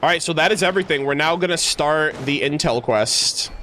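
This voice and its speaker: A man with a fairly high voice streams a game in a casual, exclamatory way. He chats to viewers and reads on-screen text, tripping over words a little, with music clearly playing behind him. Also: brisk, bright, forceful tone. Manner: casual, exclamatory reactions, stumbles when reading aloud. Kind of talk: livestream game playthrough, reading dialogue aloud and reacting.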